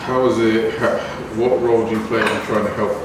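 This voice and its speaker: A middle-aged man asks relaxed questions in a slightly low voice. He sits well away from the mic in a roomy kitchen, with background noise and a heavy echo. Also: fairly quick. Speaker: interviewer. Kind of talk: casual interview questions. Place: large modern home kitchen. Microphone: far camera mic. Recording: echoey kitchen, distant mic, noisy.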